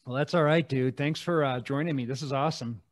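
A man's voice: mid-range voice, a little fast. A man in his forties hosting a podcast, chatting casually and laid-back into a studio mic. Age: 40s. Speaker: podcast host. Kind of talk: casual interview chat. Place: indoor room. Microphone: studio mic and headphones.

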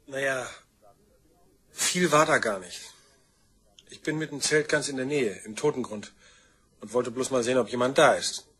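Male witness, radio crime play: rough breathy voice, offhand, slightly provocative. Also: mid-range voice, normal speed, pauses often, fairly bright tone. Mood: casual, halting. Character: offhand, needling younger-brother type, male crime witness. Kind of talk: German radio crime drama acting.

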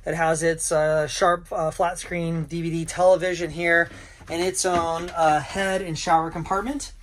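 A middle-aged man, a yacht broker, walks through a sailboat and lists its features in a relaxed way, with lots of "uh" fillers. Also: fairly high pitch, unhurried. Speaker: yacht broker giving a walkthrough tour. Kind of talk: casual walkthrough narration. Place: aboard a sailboat in a marina, on deck and below. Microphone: handheld camera, first-person view.

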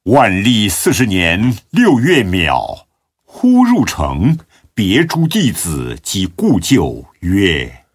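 A man with a husky voice giving a solemn, measured and dramatic narration of classical religious biography. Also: on the low side, wildly swooping pitch, dry recording, clean studio sound.

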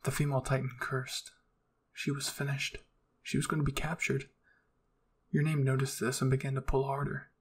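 A man narrating a scripted story in a measured, dramatic audiobook style. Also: medium pitch, flat delivery, slightly rough. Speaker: narrator reading fan fiction.

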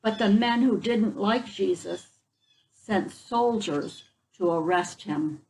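A woman's voice: mid-range voice, takes it slowly, gravelly, a little mellow, a little hiss or hum, low-quality recording. An older woman reading a picture book aloud to children outdoors, explaining it in simple words as she goes. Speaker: children's story reader. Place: outdoors on a front porch. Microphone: camera a few feet away.

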